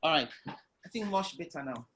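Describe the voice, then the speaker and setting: A man talks through his entrepreneurial journey in an online interview. His voice sits high and bright, and he tells his story loudly and with animation, as heard through a video call. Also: lively intonation, normal speed, a little hiss or hum. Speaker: guest entrepreneur.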